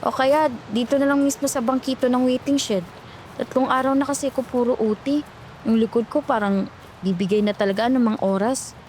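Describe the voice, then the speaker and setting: A woman's voice: fairly high pitch, fairly even intonation, fairly quick, a little mellow, a little hiss or hum, close to an everyday voice, low-quality recording. A woman acting as an exhausted young call center agent, grumbling and cautious, in a horror story. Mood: weary. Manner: weary, chatty, grumbling.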